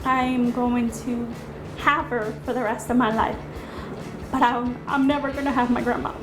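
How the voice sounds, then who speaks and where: A woman's voice: on the high side, flat delivery, moderate pace, rough recording. A woman from El Salvador, a cooking show contestant, talking earnestly and emotionally in an interview. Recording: music bed underneath.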